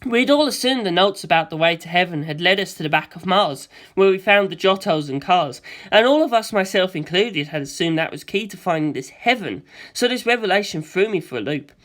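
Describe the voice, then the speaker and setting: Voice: mid-range voice, lively intonation, brisk, hardly any pauses, fairly bright tone. A narrator reading a first-person story expressively, in the manner of an audiobook.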